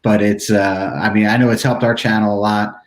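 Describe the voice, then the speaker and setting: A man with a lowish voice hosts a relaxed livestream, ribbing his friends and then moving the talk along with easy questions. His delivery is loose and conversational. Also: fairly quick. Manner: teasing, easygoing, steers the talk. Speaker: co-host of a motorcycle channel. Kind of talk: casual livestream chat.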